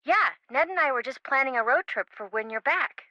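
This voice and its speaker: An actress playing a quirky, teasing young best friend in a video game, with a playful, theatrical way of talking. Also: female voice, high voice, expressive, a little fast, bright, forceful tone, dry recording, lo-fi sound. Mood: bubbly, teasing.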